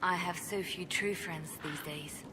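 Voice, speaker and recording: A guarded woman played by a female game actor watches over her friends with a low, measured voice. She is formal and pointed, with clear noisy ambience behind her. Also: steady tone, lightly acted. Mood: solemn, guarded. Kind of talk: video game cut-scene acting.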